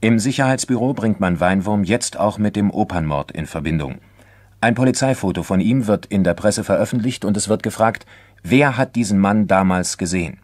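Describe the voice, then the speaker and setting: A man narrating a crime documentary drama from a script in a formal, measured manner. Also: on the low side, a little mellow, no echo, clean studio sound.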